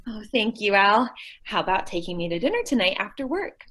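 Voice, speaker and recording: A woman's voice: mid-range voice, big pitch swings, a little fast, sharp tone. A woman playing a ditzy, cheerful and naive young working woman in old-time radio comedy style. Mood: sweetly pleading. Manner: naive, earnest, mangles what she means to say.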